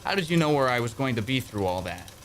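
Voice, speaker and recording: A man's voice: mid-range voice, big pitch swings, fast talker, a little hiss or hum, natural voice. A young actor playing an earnest, nervous young man in a community radio play. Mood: relieved. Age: young adult. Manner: anxious, then frantic.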